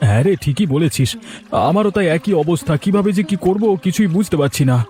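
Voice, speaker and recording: Man acting as village farmer, anxious, helpful, rapid speech, cartoon dub, soft background music. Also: sits high, expressive. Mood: worried. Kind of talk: dubbed cartoon dialogue.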